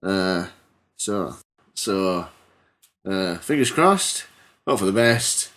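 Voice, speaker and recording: Teenage boy, podcast host, stuffy cold, lowish voice, deadpan, rambling with ums. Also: very slow. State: congested from a cold. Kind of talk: comedy storytelling and casual chat.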